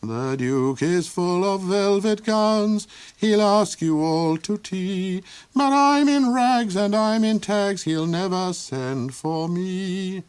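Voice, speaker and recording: A man's voice: high-pitched, expressive, slow pace, clean tone, voice pushed up. A man doing a jaunty young minstrel prince. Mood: jaunty song. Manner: jaunty, playful, singing.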